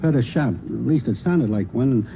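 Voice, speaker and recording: A talkative cab driver in a vintage radio crime drama. He is colloquial and halting, in a mid-range voice on a narrow recording with faint noise. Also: medium pitch, expressive, gravelly. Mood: hesitant, eager. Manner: hesitant, colloquial. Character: nervous, talkative male city cab driver witness. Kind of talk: 1950s radio drama acting.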